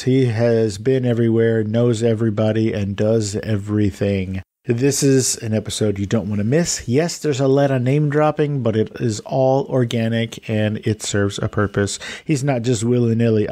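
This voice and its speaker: A man's voice: medium pitch, mellow. Upbeat man hosting a podcast. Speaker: podcast host. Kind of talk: casual interview chat.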